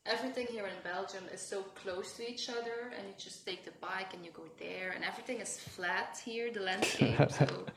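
A woman's voice: medium pitch, fairly quick, few pauses, roomy sound, background noise. A young woman from France, a podcast guest talking casually and hesitantly, with many fillers. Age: young adult. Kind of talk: casual conversation.

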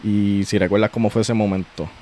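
A man with a Puerto Rican accent hosting a podcast, chatting casually in a slangy, relaxed way with frequent restarts. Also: lowish voice, brisk, slight background noise. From Puerto Rico. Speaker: podcast host.

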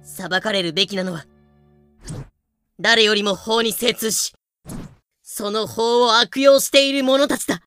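Woman acting a bright-voiced, cheeky, theatrical youngster. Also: on the high side, steady tone, unhurried, long pauses, soft background music. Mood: defiant. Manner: playful, reactive, theatrical. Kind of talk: Japanese audio drama acting.

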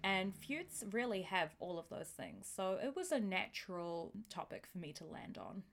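A woman's voice: medium pitch, big pitch swings, moderate pace, slight background noise. A young woman hosting a podcast solo, talking in a casual, chatty, self-deprecating way full of informal slang. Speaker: podcast host.

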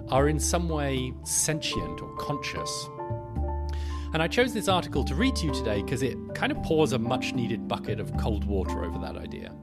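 A man hosting a podcast, reading scripted narration and an article aloud. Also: mid-range voice.

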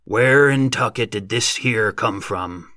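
A man voicing a gruff, irate, shrewd rural American farmer in an audiobook, growling in folksy dialect. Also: on the low side, unhurried, sharp tone, no echo, clean studio sound. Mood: dumbfounded.

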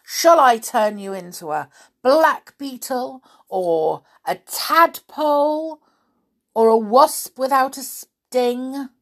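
Woman acting a bold, loudly scolding little male pixie. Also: sits low, lively intonation, slow, creaky, full character voice. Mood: indignant, threatening. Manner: indignant, emphatic, threatening. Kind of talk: children's storybook character voice.

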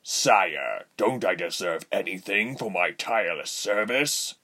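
A man's voice: low voice, expressive, unhurried, bright, forceful tone, exaggerated voice. A man voicing a servile, pleading henchman in a fantasy story. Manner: obsequious, pleading. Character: fawning, needy male henchman begging for reward.

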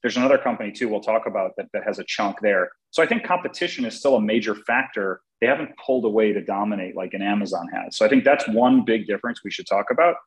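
Male co-host, lowish voice, thoughtful, hesitant delivery with fillers. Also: lively intonation, quick pace, hardly any pauses, low-quality recording. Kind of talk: casual business discussion.

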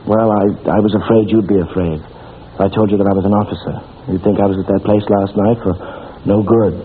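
A man's voice: fairly low pitch, steady tone, a little fast, close to an everyday voice. A man acting a lovestruck, eager young army officer in a 1940s radio play, pleading and easily flustered. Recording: tinny, noisy old radio recording.

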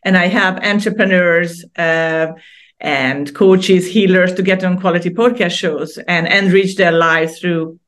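A woman's voice: fairly low pitch, steady tone, fairly bright tone. Chatty Hungarian-born woman guest. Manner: chatty, laughs often, halting restarts. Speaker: guest artist. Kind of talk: casual interview conversation.

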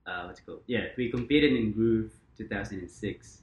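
Low-voiced rambling man from the Philippines. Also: fairly quick. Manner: relaxed, rambling, many fillers and quoted asides. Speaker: podcast guest, krump dancer. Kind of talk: casual interview chat. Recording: roomy echo.

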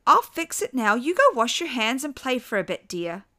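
Woman acting a flustered, higher-voiced placating mother. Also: very animated, normal speed, bright, forceful tone. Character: flustered, accommodating wife and mother.

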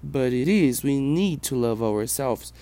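A Brazilian man who speaks English as a second language, with a Brazilian Portuguese accent, hosting a personal podcast in a reflective, halting way. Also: mid-range voice, lively intonation, warm tone. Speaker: podcast host.

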